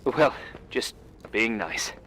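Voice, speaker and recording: A man's voice: medium pitch, expressive, takes it slowly, fairly bright tone. American guy helper in a story game, mid voice, awkward n stammery but good-natured, noisy background. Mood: friendly, tentative. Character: helpful, awkward, eager man on the other end of phone. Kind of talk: naturalistic video game voice acting.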